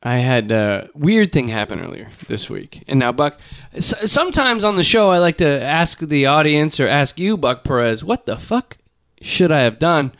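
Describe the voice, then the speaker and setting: A male podcast co-host who rambles through stories in crude, joking, casual chat. His voice sits a touch high for a man, recorded dry and close with no room sound. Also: animated, normal speed, few pauses, no room sound.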